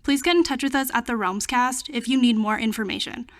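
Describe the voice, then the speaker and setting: Higher-pitched woman reading podcast announcement. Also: fairly quick. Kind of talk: scripted content warning announcement.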